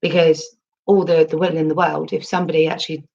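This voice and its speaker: Female livestream host, low husky voice, chatty and rambling, dull lo-fi mic. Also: little pitch movement, fast, darkish tone. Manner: chatty, rambling, with frequent ums and restarts. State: recovering from losing her voice. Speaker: crypto livestream host reading chat. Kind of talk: casual livestream chat.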